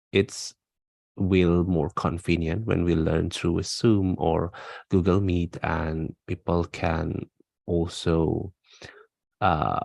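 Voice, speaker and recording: A man from Indonesia with a very low, gravelly voice answers test questions slowly and haltingly. His delivery stays flat, and the sound is dry and close on a video call. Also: dry recording. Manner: hesitant, many restarts and fillers. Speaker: IELTS speaking test candidate. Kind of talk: practice speaking test answers.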